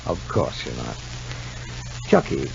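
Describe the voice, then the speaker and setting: On a muffled old radio soap recording with faint noise, a kindly doctor speaking gently. His mid-range voice rises and falls freely as he patiently encourages. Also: sits low, wildly swooping pitch, slow, creaky, heavily acted. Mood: gentle, reassuring. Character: kindly, patient male doctor coaxing a child. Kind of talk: 1950 radio soap opera acting.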